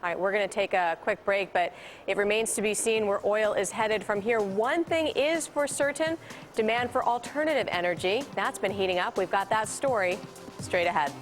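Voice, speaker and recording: A woman's voice: mid-range voice, moderate pace, soft background music. A woman presenting live financial news and interviews as a broadcast anchor.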